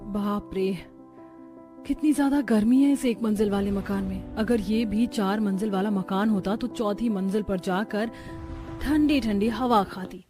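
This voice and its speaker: A woman's voice: mid-range voice, measured pace, music in the background, natural voice. A woman playing a young, dramatic, exasperated new bride in a cartoon family story. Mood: annoyed.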